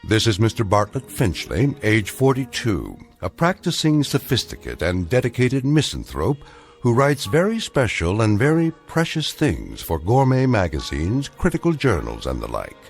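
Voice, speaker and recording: An actor as a grave, ominous narrator of uncanny tales, giving measured, ironic scripted narration. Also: male voice, lowish voice, huge pitch swings, vocal fry, soft background music, exaggerated voice. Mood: wry, grave.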